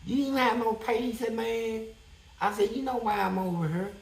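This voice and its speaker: A man with a high-pitched voice telling a prison story in a blunt, profane, animated way as an interview guest. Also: moderate pace, reverberant.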